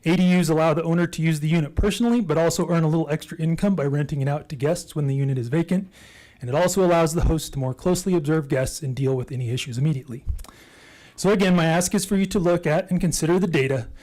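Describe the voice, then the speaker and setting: A man in his sixties giving a prepared public comment to a city council, reading in a measured, persuasive way. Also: fairly high pitch, darkish tone, poor audio. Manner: measured, persuasive, data-driven appeal.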